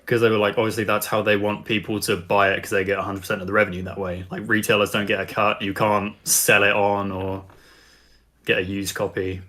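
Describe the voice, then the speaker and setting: A man's voice: fairly low pitch, flat delivery, fairly quick, pushed and bright. A man in casual discussion as a panelist on a podcast. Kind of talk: casual chat.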